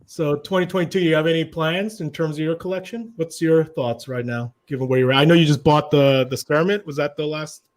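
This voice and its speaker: Dude asking jokey nosy questions, voice a bit on the high side. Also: male voice, steady tone, a little fast. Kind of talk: casual chat.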